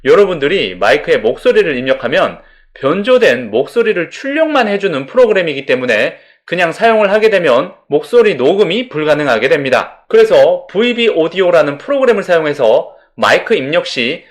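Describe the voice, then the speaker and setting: Male tutorial narrator, slightly high register, highly varied intonation, formal step-by-step delivery. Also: big pitch swings, fairly quick. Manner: formal, polite instructional delivery.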